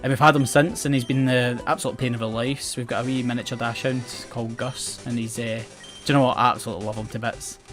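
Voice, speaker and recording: A man's voice: medium pitch, level tone, fast talker, a bit bright. Chatty west coast Scottish man. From Scotland. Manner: chatty, rambling, self-mocking, often restarts words and laughs. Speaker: gaming channel host. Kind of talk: casual game commentary. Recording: game music playing faintly behind.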